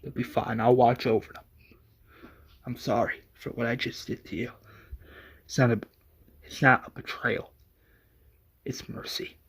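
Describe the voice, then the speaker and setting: Male storyteller as secretive woman fighter, resolute and quiet, unhurried, story drama. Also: medium pitch, steady tone. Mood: hushed remorse.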